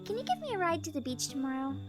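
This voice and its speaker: A woman's voice: very high-pitched, very animated, racing. A woman voicing a teasing, cheeky teenage little sister in an anime-style visual novel game. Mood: matter-of-fact. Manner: playful, sassy. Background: game music.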